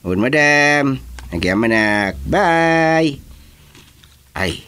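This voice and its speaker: A male actor with an Ilocano accent playing an excitable, joking village senior in a rural comedy radio drama. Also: mid-range voice, drawn-out words, clear, resonant tone, exaggerated voice. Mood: busy, matter-of-fact. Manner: teasing, animated, colloquial.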